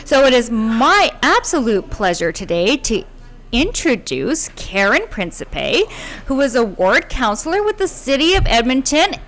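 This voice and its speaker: A woman's voice: sits high, sing-song, unhurried, fairly bright tone. A woman with a Canadian accent co-hosting a podcast in a friendly, conversational style. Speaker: podcast co-host. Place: coffee shop.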